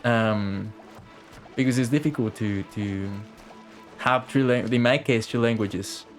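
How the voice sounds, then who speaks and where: Guy from costa rica streaming a game, rambly n jokey, swears a bit, quiet music under. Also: mid-range voice, unhurried. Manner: rambling, joking, swears casually. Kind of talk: casual live stream chat.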